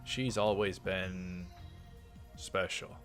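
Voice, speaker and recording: A man reading visual novel text aloud in a measured way, dropping in casual asides as a let's player. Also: sits low, steady tone, a bit bright. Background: game music.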